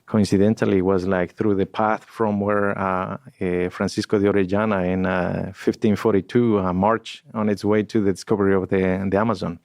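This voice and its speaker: Low gravelly man. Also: flat delivery, normal speed, few pauses, darkish tone, no echo, studio quality. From Ecuador. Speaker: guest scientist. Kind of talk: podcast interview.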